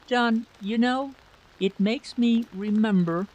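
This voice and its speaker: A man voicing a gentle, anxious wife in a story, fretful, hesitant and pleading. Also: very high voice, takes it slowly, pitched above the performer's own voice, low-quality recording. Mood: uneasy.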